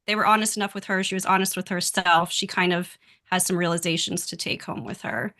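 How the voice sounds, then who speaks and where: A woman's voice: on the low side, fairly even intonation, brisk, bright, forceful tone. A woman guest on a podcast in casual discussion, conversational and quoting imagined lines as she goes.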